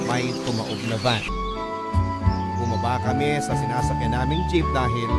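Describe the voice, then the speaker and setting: A man with a Filipino accent reads a scripted first-person story as a radio storyteller, in a dramatic narrating style. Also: medium pitch. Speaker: radio storyteller reading a listener's letter. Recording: music playing behind the voice. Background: loud background music.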